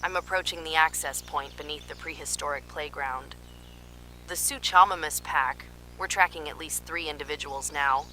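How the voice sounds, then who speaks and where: A woman's voice: sits low, bright, forceful tone, slight background noise, low-quality recording. A woman playing an alarmed park scientist, giving urgent, clinical field reports in an analog horror drama. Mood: uneasy, clinical. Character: alarmed female park scientist transmitting desperate emergency warnings.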